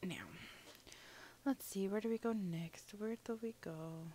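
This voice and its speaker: A woman's voice: medium pitch, unhurried, warm tone, slight background noise. A young adult woman giving a rambling, casual voiceover for a building game, a little sniffly, with lots of fillers. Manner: rambling, chatty, many fillers. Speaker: gaming channel host.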